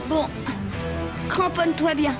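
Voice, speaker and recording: Very high-voiced, anxious young child. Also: female voice, fairly even intonation, takes it slowly. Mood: urgent, pleading. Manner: urgent, pleading. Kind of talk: French animated series dubbing. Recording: loud music under the voice.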